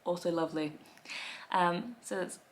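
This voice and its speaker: A woman in her thirties with an Australian accent, chatting wryly to camera from her living room, with soft laughs and fillers. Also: lowish voice, normal speed. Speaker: lifestyle vlogger. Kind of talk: casual talk to camera.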